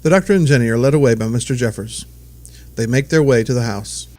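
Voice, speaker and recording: A man's voice: medium pitch, fast talker, darkish tone, a little hiss or hum. A man giving scripted, present-tense narration as the storyteller of an audio drama.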